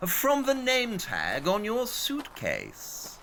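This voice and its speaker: An actor playing a brilliant, impatient male detective with a British accent, speaking in a brisk, condescending way in an audio drama. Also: high-pitched, huge pitch swings, vocal fry, a bit bright, slight background noise, heavily acted. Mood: cool.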